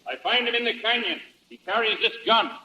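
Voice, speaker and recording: An actor playing a terse scout in a 1950s radio drama, in clipped, simple phrases. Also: male voice, high voice, fairly quick, bright, forceful tone, lo-fi sound. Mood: urgent. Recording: noisy vintage radio broadcast.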